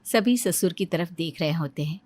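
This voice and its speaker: A woman's voice: on the low side, lively intonation, normal speed, over music. A woman narrating a scripted comic moral tale as storyteller.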